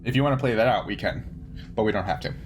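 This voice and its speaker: A man's voice: lowish voice, lively intonation, quick pace. A man narrating as game master of a tabletop roleplaying game, improvising and often searching for words.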